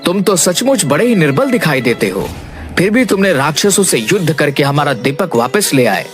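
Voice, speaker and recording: A man voicing a stern, grandiose snake king in an animated fairy tale, speaking with formal command. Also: on the high side, moderate pace. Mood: pleased, solemn. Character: stern regal snake king demanding justice. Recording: music behind the voice.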